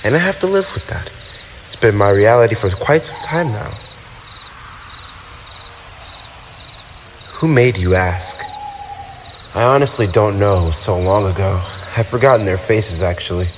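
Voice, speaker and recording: Lonely ancient vampire, played by a male actor: very low voice, brooding and wistful, formal diction, ASMR roleplay over clear night insects and owls. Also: expressive, normal speed, noisy background, natural voice. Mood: melancholy, bitter. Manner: wistful, formal, theatrical phrasing with sighs. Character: lonely, brooding tsundere male vampire, centuries old, wounded pride. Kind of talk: ASMR roleplay monologue addressed to the listener. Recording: night ambience with crickets and owls.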